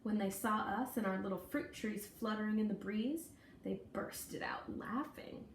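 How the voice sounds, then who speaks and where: A woman reading a children's story aloud in an expressive, folksy, deliberate way with dramatic emphasis. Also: medium pitch. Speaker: storybook reader. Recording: echoey room, distant mic, faint noise.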